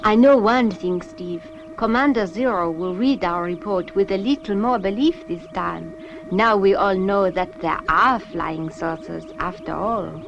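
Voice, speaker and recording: An actress playing a cool, poised female crew member of a rocket ship in a science fiction series, speaking deliberately. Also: mid-range voice, vocal fry, lo-fi sound. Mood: satisfied. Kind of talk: 1960s children's science fiction puppet series acting. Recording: music behind.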